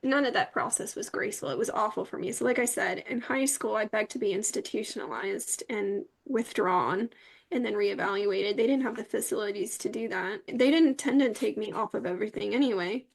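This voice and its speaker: A woman's voice: medium pitch, brisk, vocal fry, rough recording. A young adult woman speaking candidly and conversationally as an interview guest.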